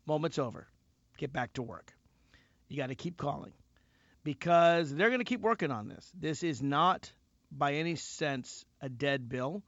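Man hosting talk radio, wry and rambling, a little high, dry and dull sound. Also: expressive, measured pace, a bit bright, no echo. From Tennessee. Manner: wry, rambling, self-deprecating. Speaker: radio host. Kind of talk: casual talk radio commentary.

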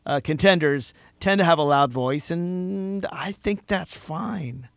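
A male radio talk show host with a loud voice, arguing politics in an animated, emphatic way, often repeating himself and laughing. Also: fairly high pitch, takes it slowly, vocal fry, muffled recording.

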